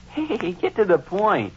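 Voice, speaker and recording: An actor in an old-time radio comedy playing a shrewd, good-natured teenage son with folksy, slangy, suspicious talk. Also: male voice, sits high, wildly swooping pitch, slow, a little hiss or hum, full character voice. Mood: curious, suspicious. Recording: dull, muffled old radio recording.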